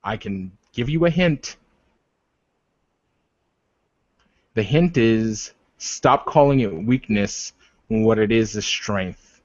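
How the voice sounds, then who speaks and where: A man's voice: on the low side, expressive, slow pace, no echo, muffled recording. A man playing a proud, wounded werewolf war leader in a tabletop roleplay, speaking formally and defensively. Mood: firm, defiant.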